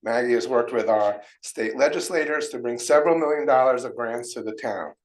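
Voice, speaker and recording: A man with a mid-range voice reads a prepared tribute at a township meeting. His delivery is steady and formal. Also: medium pitch, a little fast, rough recording. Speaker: committee member reading a tribute.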